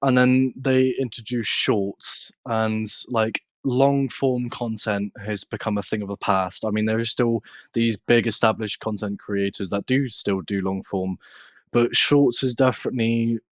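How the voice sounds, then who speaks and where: A man from the UK, a content creator chatting casually as a podcast guest in a measured, hesitant way. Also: fairly low pitch, fairly even intonation, no echo. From United Kingdom. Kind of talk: casual interview chat.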